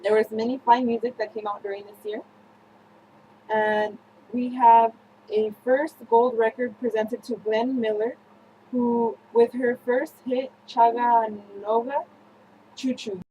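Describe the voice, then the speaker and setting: A young woman presenting a scripted student newscast, reading haltingly with slips and self-corrections. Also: fairly high pitch, fairly even intonation, mellow, slight background noise, low-quality recording. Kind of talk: scripted news-style narration for a history project.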